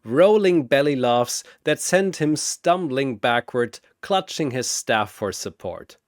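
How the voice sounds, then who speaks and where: A male narrator delivers dramatic scripted fiction. His voice is middle in pitch with very varied intonation, taking its time, and the sound is close and dry. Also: lively intonation, takes it slowly, no room sound.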